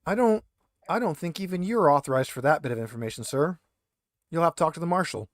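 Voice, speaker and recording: Guy doing a frazzled lady receptionist for a tabletop game, high voice, flustered and fed up, dry sound. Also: animated, fast, no room sound, lightly acted. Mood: exasperated. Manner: flustered, complaining. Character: frazzled, exasperated female police station receptionist. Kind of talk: tabletop roleplay character voice.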